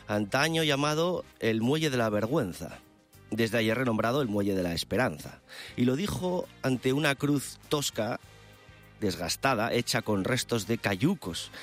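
A man delivers a radio chronicle. His pitch is average and his phrasing is eloquent and descriptive. Faint music plays behind him. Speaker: radio news presenter. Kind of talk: live radio chronicle, partly scripted. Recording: music bed under the voice. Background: background music.

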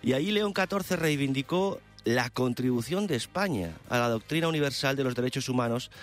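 A man delivers a radio chronicle. His pitch is average and his phrasing is eloquent and descriptive. Faint music plays behind him. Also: lively intonation, a little fast. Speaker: radio news presenter. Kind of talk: live radio chronicle, partly scripted. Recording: music bed under the voice. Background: background music.

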